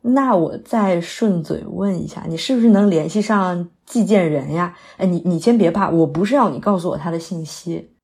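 一位女演员在广播剧里饰演刚下戏、略显疲惫的戏曲女演员，语气客气口语化，偶尔迟疑。. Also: female voice, fairly low pitch, normal speed, darkish tone, close to an everyday voice. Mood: persuasive, friendly. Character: polite, weary female opera performer after a late show. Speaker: stage actress in an audio drama. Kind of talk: naturalistic modern audio drama dialogue.

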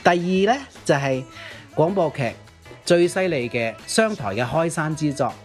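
A man's voice: on the high side, expressive, measured pace. A man co-hosts a casual show, chatting with frequent interjections and teacherly asides. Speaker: podcast co-host, radio DJ. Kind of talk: casual chat about old Cantopop. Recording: music under the voice. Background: background music.

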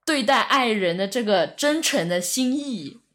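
A woman podcast host in casual chat, speaking in a halting way and often repeating her words. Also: a little high, expressive, a bit bright.

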